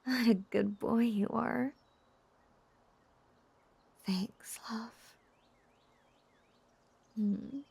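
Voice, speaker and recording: A woman playing a lovesick, possessive, lonely witch in an intimate audio roleplay, coaxing and halting in a breathy whisper. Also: fairly high pitch, steady tone, darkish tone, no room sound, full character voice. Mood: tender, coaxing.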